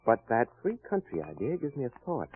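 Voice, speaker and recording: Muffled old radio recording, a wisecracking hard-boiled detective, really animated delivery. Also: male voice, mid-range voice, fairly quick, a little hiss or hum. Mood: wry, assured. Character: wry confident male private detective cracking a murder case. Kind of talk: old-time radio crime drama acting.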